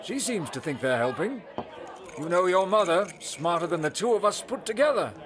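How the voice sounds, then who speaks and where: A genial middle-aged father played by a man talks in a high, swooping voice, folksy and doting toward his grown son. It is an audio drama scene with a crowd murmuring behind. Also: sing-song, normal speed. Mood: genial, easygoing.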